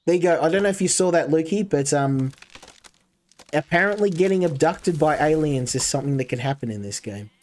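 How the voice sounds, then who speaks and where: A man's voice: on the high side, lively intonation, a little fast, a little mellow. A man streaming a game, chatting casually and rambling while talking to his chat. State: coming down with a cold.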